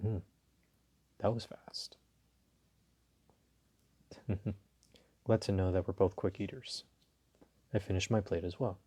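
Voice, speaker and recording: A man playing a suave, dominant, flirtatious mafia boss in an intimate audio roleplay, courteous and teasing, with a low husky voice. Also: fairly even intonation, heavily acted. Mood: courteous, relaxed. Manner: slow, teasing, courteous, softly chuckling.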